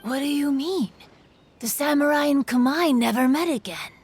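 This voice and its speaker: A woman voicing a shy, melancholy spirit in a video game, soft and breathy, hesitant and sorrowful. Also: sits high, moderate pace, soft background music, natural voice. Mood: surprised. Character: melancholy female game spirit, shy, wistful, guilt-ridden.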